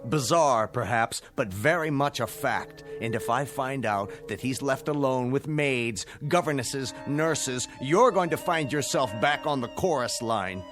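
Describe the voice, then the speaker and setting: An actor in a radio drama playing a stern, vengeful scientist with a measured, formal, sardonic delivery. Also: male voice, medium pitch, animated, fairly bright tone. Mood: scornful, stern. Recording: music bed under the voice.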